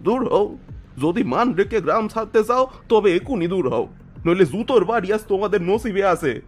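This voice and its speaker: A man's voice: high-pitched, lively intonation, normal speed, soft background music. A man playing a stubborn, hot-tempered poor villager in an audio story, agitated and blunt. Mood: furious.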